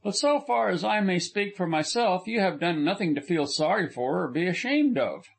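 A man reading a courteous young gentleman. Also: high voice, expressive, normal speed, vocal fry, husky, pitched above the performer's own voice, heavily acted. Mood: reassuring. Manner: gentle, reassuring, formal. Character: courteous, measured young male engineer.

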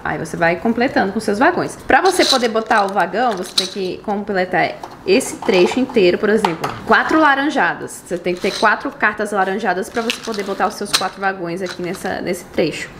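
A young woman with a Brazilian Portuguese accent, talking cheerfully into a camera she holds at arm's length. She sits in the middle of the range for pitch, sounds bubbly and animated, and the room around her adds a noticeable echo. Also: background noise. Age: young adult. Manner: bubbly, animated, laughs easily. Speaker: vlogger. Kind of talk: casual chatty vlog. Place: home kitchen and dining room, indoors. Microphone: handheld camera at arm's length. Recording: echoey room.

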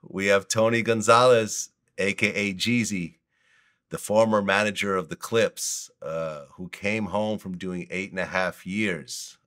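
A man's voice: lowish voice, steady tone, unhurried, fairly bright tone. A man hosting an interview, asking direct, leading questions. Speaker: interview host.

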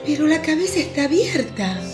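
A man's voice: very high voice, very animated, brisk, reverberant, over music, pitched above the performer's own voice. A man voicing a worried young mother in a radio drama.

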